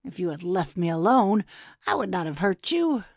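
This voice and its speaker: A woman's voice: lowish voice, expressive, normal speed, no echo, studio quality. A woman playing a cruel male poisoner in an audiobook drama, taunting and menacing as she gloats. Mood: gloating.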